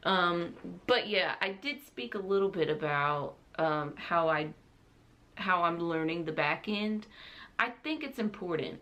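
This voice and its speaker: A woman's voice: lowish voice, lively intonation, a little slow, bright, forceful tone. A young adult woman chatting casually to camera as a vlogger, rambling in a giggly, self-deprecating way.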